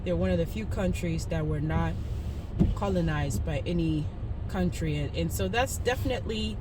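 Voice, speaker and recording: A man's voice: fairly high pitch, normal speed. A man from Zimbabwe hosting his own channel, chatting casually with many fillers and restarts. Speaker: channel host. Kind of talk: casual vlog talk. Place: outdoors in winter, on the move. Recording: distant mic with loud street traffic.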